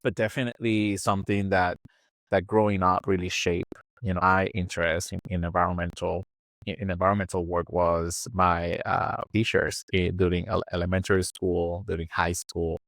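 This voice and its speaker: A man in his thirties with a Puerto Rican Spanish accent, his voice sitting very low. He answers interview questions casually, stopping and restarting often with many fillers. The sound is dry and close to the mic. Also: level tone, normal speed, a bit bright, no room sound. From born in Venezuela, raised in Puerto Rico. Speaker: guest, environmental engineer.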